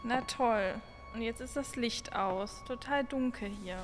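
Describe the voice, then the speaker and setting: High-voiced woman as spirited adventurer, hall reverb. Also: measured pace, over music, lightly acted. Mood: annoyed. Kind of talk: casual dialogue in a radio play. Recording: long hall reverb, faint noise.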